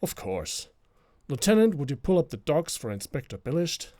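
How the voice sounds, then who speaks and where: A man voicing a composed, wry, dutiful security chief in an animated comic dub. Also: a little high, normal speed, no room sound, studio quality. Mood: composed, polite. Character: gruff but easygoing adult security chief, composed. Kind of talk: animated fandub voice acting.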